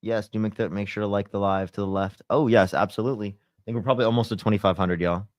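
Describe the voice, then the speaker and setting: Young guy streaming, kinda stuffy nose, lowish voice, says like and you know a lot. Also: steady tone, quick pace, darkish tone, dry recording, lo-fi sound. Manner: laid-back, filler-heavy, lots of "like" and "you know". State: congested, slightly sore throat. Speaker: livestreamer. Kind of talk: casual livestream chat reading comments.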